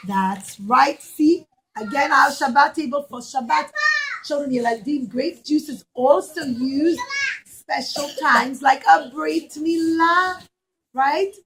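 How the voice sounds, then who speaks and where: A woman preschool teacher with a high, bright voice leads an interactive story lesson for little children. She speaks slowly, asking many questions and repeating key words in an animated way.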